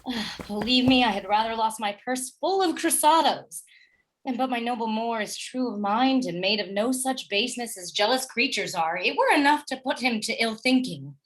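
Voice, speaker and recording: Woman acting gentle noble wife, high and husky. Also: expressive, normal speed, poor audio. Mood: earnest concern. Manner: earnest, courteous. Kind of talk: Shakespearean radio play acting.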